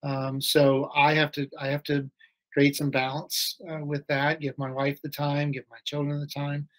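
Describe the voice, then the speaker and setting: A middle-aged school head answers as a podcast guest over a video call. His voice sits a little high, and he speaks thoughtfully, often restarting his phrases. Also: monotone, normal speed, rough recording. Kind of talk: casual interview chat. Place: home or office, video call.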